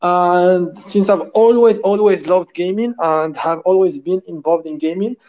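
Interview guest: Moroccan-born man, slightly high voice, loose and rambling delivery. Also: unhurried, dark tone. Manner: rambling, many fillers and restarts. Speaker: guest, game studio co-founder. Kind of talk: conversational interview answers. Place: at home, lives in France.

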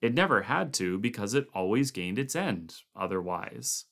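A man voicing a weary but level-headed camp leader who reasons things through calmly. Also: lowish voice, moderate pace, creaky, exaggerated voice. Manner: calm, reasoning, tired.

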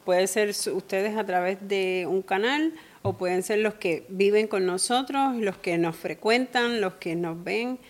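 A woman's voice: medium pitch, unhurried, hardly any pauses. A middle-aged woman with a Cuban accent tinged by Colombian speech, co-hosting a casual chat with her husband and laughing easily. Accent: Cuban, blended with Colombian. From Cuba. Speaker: co-host.

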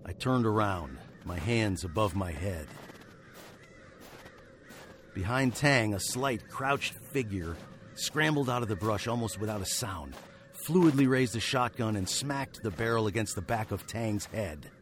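A man's voice: on the low side, animated, measured pace, fairly bright tone, a little hiss or hum. An actor playing a wry fugitive western hero, narrating his tale in a literary, sardonic way for a radio drama. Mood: grave, wry.

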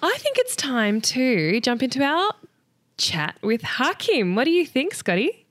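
A woman's voice: high voice, sing-song, a little slow, a bit bright, no room sound. A woman co-hosting a podcast in casual chat, bubbly and playful, laughing often. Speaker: podcast co-host.

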